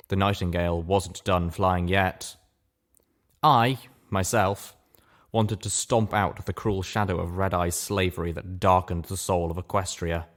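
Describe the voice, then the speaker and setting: A man with a low-pitched voice reads scripted fiction aloud. He narrates in the first person, as the reader of a story show.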